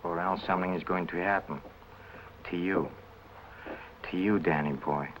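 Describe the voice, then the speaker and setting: Actor playing a deep-voiced, sly menacing blackmailer. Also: male voice, steady tone, lightly acted. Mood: veiled menace. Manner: halting, repetitive, insinuating, mock-friendly. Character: sly menacing blackmailing businessman, oily and soft-spoken. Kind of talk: 1950 film noir acting. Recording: noisy old film soundtrack.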